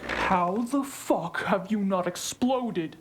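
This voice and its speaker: A woman's voice: mid-range voice, takes it slowly, slight background noise, lightly acted. Frank, knowing female colleague played by a woman, mid-range voice, scolding out of concern, audio drama. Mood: blunt, exasperated. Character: blunt, exasperated, knowledgeable female colleague, worried.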